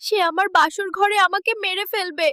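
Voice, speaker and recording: A woman's voice: very high voice, steady tone, normal speed, pushed and bright. A woman voicing a naive, plain-spoken young village boy in a cartoon fairy tale. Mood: worried.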